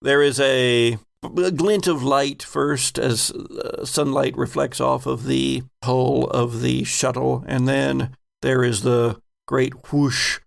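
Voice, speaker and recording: A man working as game master, narrating improvised tabletop scenes in a measured, halting, descriptive way. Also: medium pitch, steady tone, creaky.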